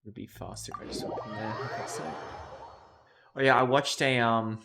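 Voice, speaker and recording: A man's voice: mid-range voice, steady tone, fairly quick, vocal fry, heavy background noise. A man game streamer giving blunt, sweary, casual live reactions and chat.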